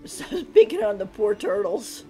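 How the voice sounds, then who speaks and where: A woman's voice: medium pitch, big pitch swings, brisk, warm tone. A woman giving casual live commentary as she plays a game, giggly and thinking aloud. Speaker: game streamer. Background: game music.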